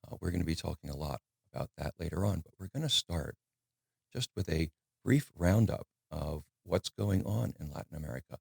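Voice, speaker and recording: A middle-aged man hosting a podcast, introducing his guests in a relaxed, thoughtful storytelling way. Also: low-pitched, brisk, gravelly, husky, dry recording, clean studio sound. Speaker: podcast host. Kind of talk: conversational introduction.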